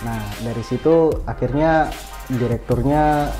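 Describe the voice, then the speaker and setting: A man giving brief, plain, halting answers as a guest in a casual interview. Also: medium pitch, steady tone. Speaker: podcast guest, IT security worker. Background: music.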